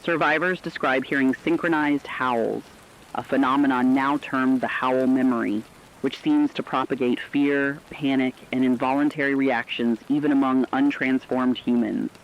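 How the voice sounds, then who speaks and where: A man playing a grave radio news reporter, delivering a formal, measured emergency bulletin in a scripted drama. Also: medium pitch, steady tone, dull audio, a little hiss or hum, natural voice. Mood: grave, urgent.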